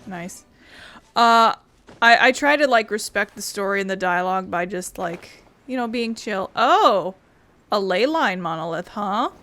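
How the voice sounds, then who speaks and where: A woman's voice: medium pitch, lively intonation, measured pace, a bit bright. A woman doing casual, rambling video game commentary with playful asides. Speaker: streamer playing a video game. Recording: game music behind voice.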